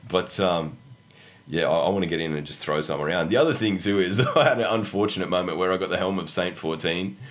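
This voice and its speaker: Australian man, very low pitch, flu-hoarse, husky, casual sweary podcast host, self-deprecating humour. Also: fast talker. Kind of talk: casual gaming chat, swearing freely.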